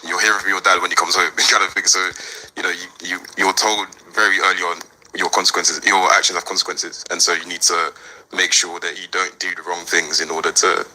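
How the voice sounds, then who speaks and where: A man in his twenties from Nigeria, co-hosting a podcast and speaking in a measured, hesitant way. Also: sits low, flat delivery, bright, forceful tone, rough recording. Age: 20s. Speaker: podcast co-host. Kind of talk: casual panel discussion.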